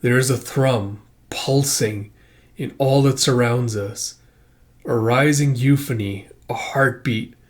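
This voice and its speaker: A man's voice: mid-range voice, natural voice. Man acting a solemn, portentous otherworldly warrior. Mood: solemn, wary. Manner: slow, formal, portentous. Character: solemn, archaic otherworldly warrior, proud and full of dread.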